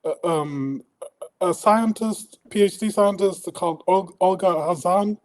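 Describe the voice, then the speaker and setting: A man who grew up in Denmark, a poet guest on a podcast, speaking thoughtfully in casual chat and often pausing to find his words. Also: sits high. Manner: thoughtful, searching for words with frequent pauses.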